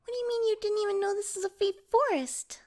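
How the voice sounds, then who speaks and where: Fantasy ASMR roleplay role: little pixie played by a woman, extremely high voice, flustered and stammering, proud, wary of humans. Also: steady tone, fast, a little mellow. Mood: indignant.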